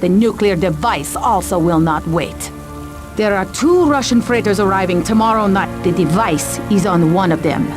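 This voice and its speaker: A woman's voice: medium pitch, expressive, normal speed, lightly acted. An actress playing a cool, businesslike female secret agent in a video game, clipped and commanding. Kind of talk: video game cutscene dialogue. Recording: music and rain behind the voice.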